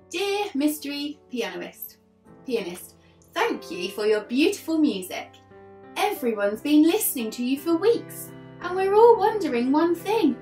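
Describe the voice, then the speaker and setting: Animated, high-voiced woman reading a storybook. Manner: dramatic, with deliberate pauses between phrases. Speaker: infant school teacher reading aloud. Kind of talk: expressive children's storybook reading. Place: living room sofa, indoors. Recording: roomy, distant mic, faint music behind.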